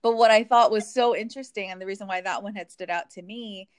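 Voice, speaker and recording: A woman's voice: medium pitch, quick pace, smooth, no echo, studio quality. A woman from Hawaii in a reflective, casual conversation, talking with many fillers and restarts.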